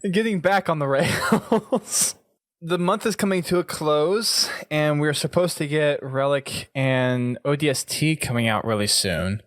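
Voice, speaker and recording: A man's voice: fairly high pitch, unhurried, a bit bright, no echo. Man hosting a casual podcast, dry close sound. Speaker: podcast host. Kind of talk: casual gaming podcast chat.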